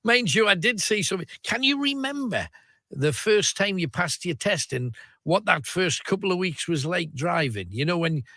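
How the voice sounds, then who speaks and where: Chatty radio phone-in host. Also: male voice, high voice, big pitch swings, normal speed, bright, forceful tone, no echo, clean studio sound. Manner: chatty, reads out the phone number. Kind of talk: casual radio chat. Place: radio studio. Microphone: studio microphone.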